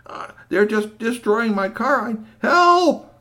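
A man doing a frantic young traveler, high and stammering. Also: animated, takes it slowly, sharp tone, heavily acted. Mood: panicked. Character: panicky young male traveler stuck up a tree.

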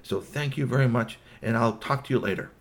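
A man voicing a very polite male ninja robot who speaks slowly and formally, full of thanks. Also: medium pitch, lightly acted. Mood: grateful. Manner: effusively grateful, slow and formal.

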